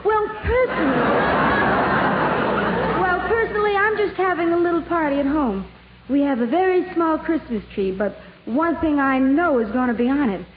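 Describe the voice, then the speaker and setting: A woman's voice: high voice, animated, normal speed, a crowd in the background. A woman trading scripted comedy banter on a 1950s radio show. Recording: tinny, noisy radio broadcast, audience.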